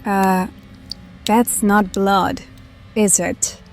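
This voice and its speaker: A woman's voice: medium pitch, steady tone, drawn-out words, a little hiss or hum. A woman playing a frightened, squeamish young hireling in an audio drama, nervous and comically blurting. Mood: uneasy.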